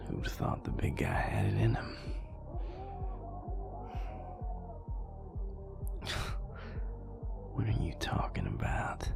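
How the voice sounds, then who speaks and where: Man acting very deep, gravelly, murmuring vampire boyfriend. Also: full character voice. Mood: wry, tender. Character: gruff, reluctant vampire boyfriend, teasing and tender. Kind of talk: intimate audio roleplay to the listener. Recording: music playing behind the voice. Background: loud club music.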